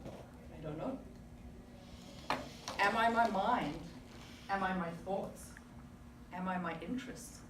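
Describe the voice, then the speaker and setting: A woman in her forties from South Africa gives a prepared, reflective speech full of rhetorical questions to a club audience. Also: mid-range voice. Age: 40s. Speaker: club speaker. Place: meeting room with audience. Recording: echoey room, distant mic, background noise.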